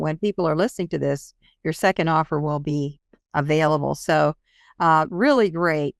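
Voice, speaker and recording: A woman's voice: lowish voice, vocal fry, clean tone, no echo, clean studio sound. A woman hosting a podcast interview in casual talk, affirming and appreciative toward her guest. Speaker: podcast host.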